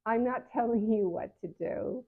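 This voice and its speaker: A woman's voice: fairly high pitch, normal speed. A seventy-year-old woman giving frank, conversational advice to her viewers as a patient advocate, often correcting herself mid-sentence. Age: 70s. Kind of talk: casual advice talk to camera. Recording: phone-like narrow sound.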